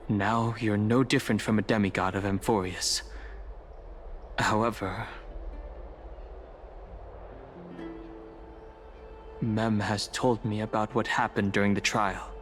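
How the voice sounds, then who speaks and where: A man playing a serious, level-headed young adventurer in a fantasy game, reserved, earnest and quietly caring as he talks. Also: on the low side, lightly acted. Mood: calm, matter-of-fact. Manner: measured, earnest, reserved, with sighs. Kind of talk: scripted fantasy game dialogue.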